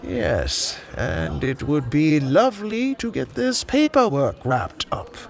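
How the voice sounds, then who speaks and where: An actor playing an aloof, enigmatic man who speaks in a measured, formal, dry way in an audio drama. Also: a little high, very animated, gravelly, long reverb tail, heavily acted. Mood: businesslike.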